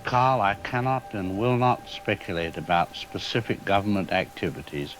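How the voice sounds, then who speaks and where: A man playing a cautious, troubled scientist in a scripted radio drama, explaining technical matters in measured, formal language. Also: on the low side, soft background music, close to an everyday voice. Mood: firm, guarded. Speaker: expert guest. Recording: steady rain-like background noise.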